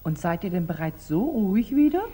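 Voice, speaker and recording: An actress playing a knowing, teasing lady's companion with a husky voice and arch manner in 1940s German radio drama style. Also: lowish voice, sing-song, a little fast, mellow, in a large hall. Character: knowing, teasing female companion to a young maiden.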